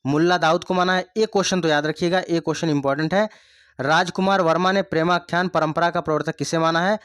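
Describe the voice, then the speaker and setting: High-voiced male Hindi tutor, repetitive. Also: fairly even intonation, fast, a bit bright, smooth, no echo. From India. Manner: repeats points, asks the class rhetorical questions. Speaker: exam coaching teacher. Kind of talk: online Hindi grammar lesson.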